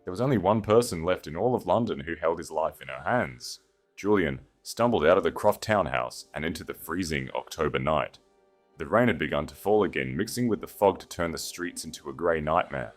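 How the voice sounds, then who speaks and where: A man's voice: sits low, expressive, a little fast, faint music behind. A man reading a dramatic scripted romance as an audiobook narrator.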